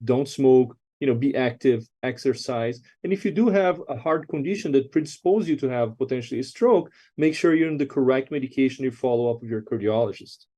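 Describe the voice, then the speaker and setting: A man's voice: mid-range voice, animated, fairly quick, few pauses, dark tone. A man speaking as an expert doctor, explaining things conversationally and often checking in with the listener. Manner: explanatory, frequent check-in tags.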